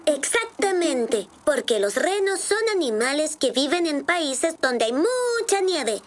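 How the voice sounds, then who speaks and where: A woman voicing a cheerful little boy rabbit in a children's cartoon, clearly and kindly explaining how to draw, with music behind. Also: high-pitched, very animated, takes it slowly, full character voice. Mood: cheerful, instructive. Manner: clear, instructive, friendly. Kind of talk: children's cartoon dub, explaining drawing steps.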